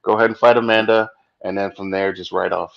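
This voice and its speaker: A measured man offering fair-minded boxing opinions on a live panel. His voice is a little low and comes through a muffled, lo-fi connection. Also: fairly even intonation, creaky. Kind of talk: casual online boxing chat. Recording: dull lo-fi sound.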